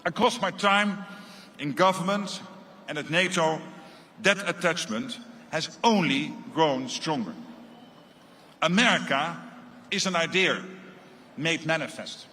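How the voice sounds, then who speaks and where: A man with a Dutch accent delivering an earnest, deliberately phrased formal speech as a guest speaker. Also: high voice, bright, forceful tone, a little hiss or hum. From Netherlands.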